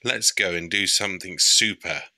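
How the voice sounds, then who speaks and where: A man's voice: low-pitched, moderate pace, pushed and bright, no room sound, exaggerated voice. A man voicing a bossy, eager little girl squirrel leader in a storybook, with exclamatory commands.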